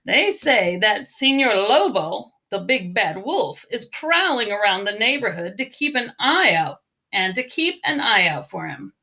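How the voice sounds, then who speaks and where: Woman as a folksy cowboy, lively pitch. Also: measured pace, full character voice. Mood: cautioning. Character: friendly cowboy man warning a little girl.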